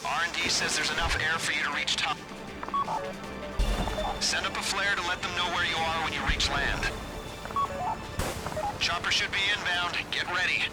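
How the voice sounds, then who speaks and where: A hard-nosed agent played by a man barks clipped mission orders in an action game. His voice sits a little high, with loud score and gunfire behind it. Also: steady tone, moderate pace, long pauses, over loud music, close to an everyday voice. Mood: urgent orders. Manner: clipped, commanding. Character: stern, grudging male government agent handler giving mission orders. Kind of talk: video game mission dialogue.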